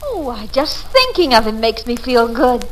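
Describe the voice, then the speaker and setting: Flirtatious 1940s radio secretary. High-pitched, lively, coy and teasing. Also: female voice, normal speed, low-quality recording. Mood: gushing. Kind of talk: 1940s radio comedy acting.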